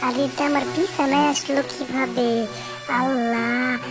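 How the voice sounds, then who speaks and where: A woman voicing a nagging, excitable fox wife in a cartoon, given to scolding exclamations. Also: high voice, unhurried. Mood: alarmed, resolute. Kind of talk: animated cartoon dialogue. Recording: loud music behind the voice.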